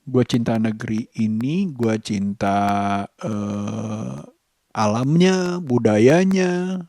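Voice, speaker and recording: A man, giving a casual vlog monologue in halting, deliberate short phrases. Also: mid-range voice, warm tone, dry recording, clean studio sound. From Indonesia. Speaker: vlogger.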